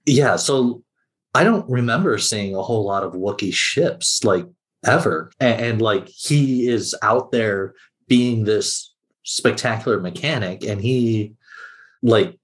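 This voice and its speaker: A man speaking as a podcast guest in casual conversation, musing aloud in a halting way. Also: on the low side, expressive.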